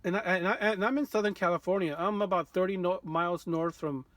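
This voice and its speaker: A man presenting a casual hands-on walkthrough as a radio restorer, informal and halting as he thinks aloud. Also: high-pitched, fairly bright tone, no room sound.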